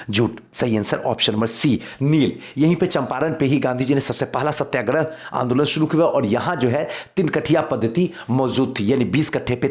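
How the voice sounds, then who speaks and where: A man teaching exam history in a quiz lesson, reading out questions and explaining answers, repeating key points for emphasis. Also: mid-range voice.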